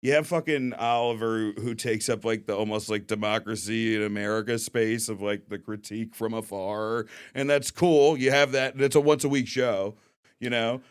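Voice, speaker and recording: A man's voice: lowish voice, steady tone, moderate pace, clean tone. A young adult man, a comedian guest on a podcast, rambling and laughing often, with lots of "like". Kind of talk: casual comedy podcast chat.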